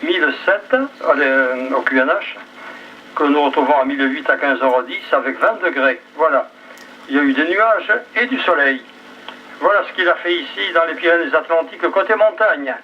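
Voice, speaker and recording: A man's voice: on the high side, moderate pace, far from the mic. A man chatting casually as he runs an amateur radio round over a two-way link. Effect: two-way radio transmission. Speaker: amateur radio net operator. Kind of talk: casual ham radio chat. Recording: muffled VHF radio with faint noise.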